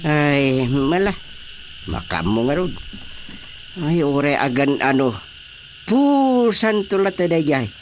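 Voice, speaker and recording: Lively, grumbling older Ilocano father. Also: a little high, takes it slowly, long pauses, slight background noise. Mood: chatty, mocking. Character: older, chatty, grumbling father telling mocking anecdotes. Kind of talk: radio comedy drama acting.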